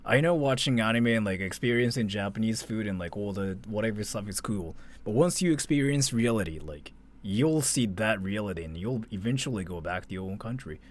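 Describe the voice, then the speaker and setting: A low-pitched man hosting a livestream in a loose, conversational way. His intonation swings widely as he rambles through off-the-cuff reactions, dropping "like" into his sentences often. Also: lively intonation, fairly quick, hardly any pauses. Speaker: livestream host reacting to a video. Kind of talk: casual off-the-cuff reaction commentary.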